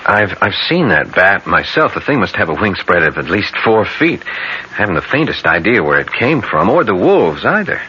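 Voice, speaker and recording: A troubled doctor speaks in anxious, halting radio-drama fashion. The voice is deep and tense with swooping pitch, on a slightly noisy old broadcast. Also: male voice, very animated, sharp tone, heavily acted. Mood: puzzled. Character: baffled, desperate doctor worried for his fiancée. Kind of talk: 1970s radio drama acting. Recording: dull old radio broadcast, faint noise.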